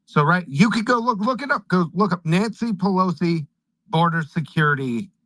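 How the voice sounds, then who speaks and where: A male host with a slightly high voice makes an emphatic political point in a live online audio room. He speaks pointedly and drives each claim home. The sound is close and dry. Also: lively intonation, moderate pace, fairly bright tone, no echo, low-quality recording. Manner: emphatic, pointed, ends by handing over the floor. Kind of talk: political commentary.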